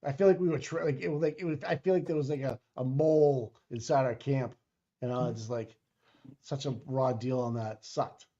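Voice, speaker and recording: A man's voice: medium pitch, steady tone, warm tone. Rambling man on dull lo-fi audio. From Boston area. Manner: rambling, halting, swears casually. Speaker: co-host. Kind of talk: casual live-stream chat.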